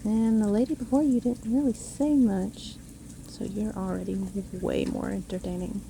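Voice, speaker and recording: A very soft-edged, dark voice over faint rain. A woman plays a solitary forest witch who seems kindly but is sly underneath. She coaxes gently and takes her time, in the manner of a fantasy storytelling roleplay. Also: mid-range voice, fairly even intonation, normal speed, creaky, slight background noise, natural voice, rough recording. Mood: curious, teasing. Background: rain storm ambience.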